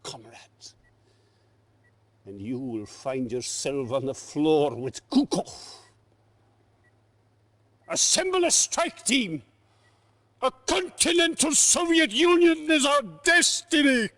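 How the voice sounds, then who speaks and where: An actor playing a menacing, imperious Soviet dictator with a Russian accent and a commanding, theatrical delivery in a video game cutscene. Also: male voice, very high voice, very animated, slow pace, long pauses, fairly bright tone, heavily acted.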